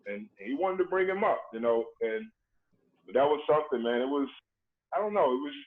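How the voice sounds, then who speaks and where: A man, telling stories in a relaxed, earnest way with lots of "you know" fillers, heard over a remote call. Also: fairly high pitch, fairly quick. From Dublin, Georgia, USA. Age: late 30s. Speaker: guest, former basketball player. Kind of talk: casual storytelling conversation. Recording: tinny phone-like call line with faint noise.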